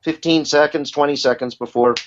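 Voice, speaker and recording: A man's voice: on the high side, fairly even intonation, a little fast, a little hiss or hum. A middle-aged or older man who works as a voice actor, telling candid, rambling stories as a guest in a casual interview. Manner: chatty storytelling, candid, rambling with restarts. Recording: muffled lo-fi audio.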